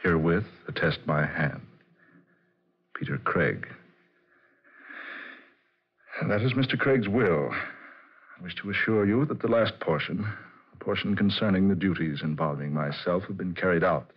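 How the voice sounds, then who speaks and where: A man's voice: deep voice, lively intonation, moderate pace, husky, exaggerated voice. An actor playing a calculating male attorney, formal and self-assured, in a 1940s radio drama. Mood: formal, solemn. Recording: muffled vintage radio recording.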